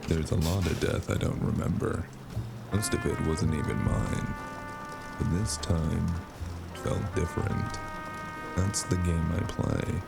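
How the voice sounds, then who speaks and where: A man playing a world-weary, haunted noir smoker in an audio drama, brooding and sardonic, speaking in a measured way. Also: low voice, steady tone, vocal fry, exaggerated voice. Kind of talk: noir audio drama with first-person narration. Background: loud cinematic music.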